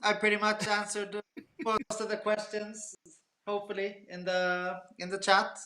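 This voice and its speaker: Animated, bright-voiced Canadian-born man in his forties. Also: sits high, measured pace, echoey room, rough recording. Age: 40s. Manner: animated storyteller, jokey asides. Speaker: guest. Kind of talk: casual chat.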